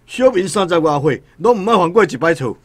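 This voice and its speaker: Plaintive, high-voiced poor Taiwanese peddler. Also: male voice, lively intonation, fairly quick, no echo. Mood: pleading. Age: 30s. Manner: plaintive, protesting. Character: poor, honest vegetable seller in his thirties, aggrieved and pleading. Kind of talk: radio drama acting.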